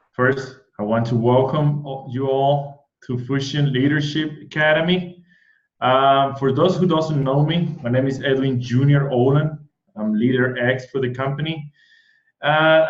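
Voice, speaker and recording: Excited puerto rican accented guy hosting a live stream, trips over his words a lot, roomy muffled sound. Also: on the high side, measured pace. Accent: Puerto Rican Spanish. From Puerto Rico. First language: Spanish. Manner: excitable, repeats words and restarts often. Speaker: host. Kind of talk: casual online event hosting.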